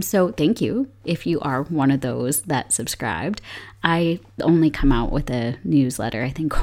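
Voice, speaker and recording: A young woman hosting a solo podcast, her voice hoarse from illness, upbeat and chatty with frequent laughs. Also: low-pitched, a little mellow. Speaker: podcast host.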